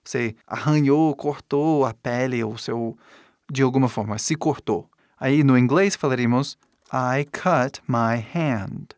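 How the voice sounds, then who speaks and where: A man with an English speaker's foreign accent, a native English speaker, teaching in a deliberate way with pauses between short phrases and example sentences. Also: medium pitch, darkish tone, no room sound, studio quality. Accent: English-speaking foreigner's accent in Portuguese. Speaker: English teacher giving an online lesson. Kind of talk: instructional lesson with example sentences.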